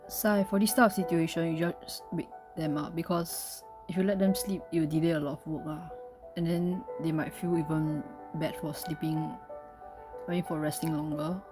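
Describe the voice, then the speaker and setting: A woman with a fairly low voice gives casual gameplay commentary as a streamer. Game music is clearly heard behind her. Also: fairly even intonation, moderate pace.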